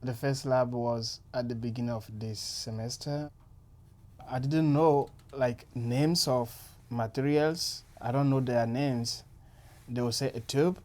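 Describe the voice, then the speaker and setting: Hesitant man student, mid-pitched. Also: fairly even intonation, slow, darkish tone. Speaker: college student.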